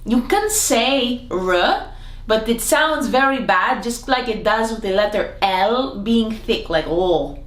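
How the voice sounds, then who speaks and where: A woman gives a comedic language lesson at an unhurried, deliberate pace. She is playful and theatrical, with sudden jumps in pitch, and her voice echoes around a roomy space. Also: expressive. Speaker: Greek language teacher. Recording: echoey room.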